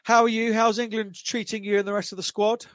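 A man hosting a sports podcast, interviewing guests in a casual, halting way that often repeats words. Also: high voice, little pitch movement, no echo. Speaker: podcast host.

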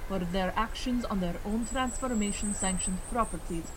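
A woman playing a composed, dry, legalistic young woman in an audio drama, deadpan and formal. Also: mid-range voice, moderate pace, noisy background, lightly acted. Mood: formal, matter-of-fact.

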